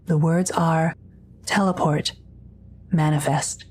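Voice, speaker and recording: A woman's voice: low voice, fairly even intonation, takes it slowly, a little mellow, husky. A woman reading scripted audio drama narration in a neutral, descriptive manner.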